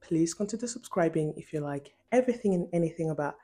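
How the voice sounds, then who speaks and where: A woman's voice: low voice, expressive, fast, mellow. A young woman talking casually and emphatically to camera in an informal, slangy video essay style, recorded in a room at home. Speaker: video essayist and channel host.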